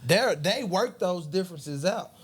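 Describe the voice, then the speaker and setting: A man's voice: sits high, animated, measured pace, husky. A man, rambling in casual podcast chat and leaning on "you know what I'm saying". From Tennessee, US. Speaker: podcast guest.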